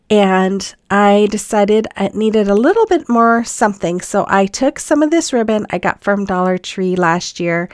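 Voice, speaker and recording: A woman's voice: mid-range voice, no room sound, clean studio sound. A middle-aged woman with a stuffy cold, chatting through a DIY project step by step with frequent ums. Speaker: craft channel host. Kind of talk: narrated DIY voiceover.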